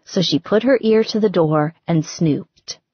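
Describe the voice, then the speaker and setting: A woman's voice: on the low side, unhurried, husky, dry recording, highs cut off. A woman reading a story as scripted audiobook narration.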